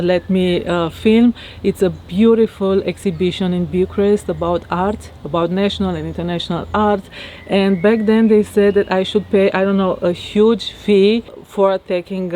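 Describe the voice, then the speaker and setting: A woman from Romania with a Romanian accent, talking reflectively to her viewers in a halting way with many fillers. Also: on the low side, fairly even intonation, mellow, slight background noise. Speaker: vlogger. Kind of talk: casual monologue to camera. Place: outdoors in her garden.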